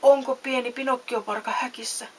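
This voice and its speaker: A woman's voice: a little high, fairly even intonation, fairly bright tone, natural voice. A woman voicing an old man in a storybook, a kindly, worried father who speaks tenderly and protectively. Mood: shocked. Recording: faint background hiss.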